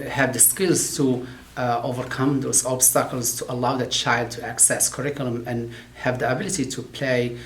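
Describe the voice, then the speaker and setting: Brief: middle-aged non-native English man, professor in an interview, hesitant with constant "uh"s, echoey room, light hiss. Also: mid-range voice. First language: not English. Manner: halting, many "uh" fillers and restarts. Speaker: occupational therapy professor. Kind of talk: interview talk about his field.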